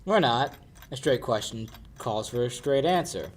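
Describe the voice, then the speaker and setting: A young man acting a gruff, wisecracking detective in a 1940s radio play style, with clipped, wry delivery. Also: medium pitch, lively intonation, unhurried, a little hiss or hum, natural voice. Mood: wry, businesslike. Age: young adult. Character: gruff, wisecracking male private sleuth. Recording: amateur radio play recording.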